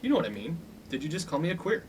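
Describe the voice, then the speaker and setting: Man playing a fast-talking frat bully. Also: fairly high pitch, big pitch swings, a little hiss or hum. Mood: hostile. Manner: blunt, domineering. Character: bullying, dim young fraternity boss. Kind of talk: comedic radio play acting.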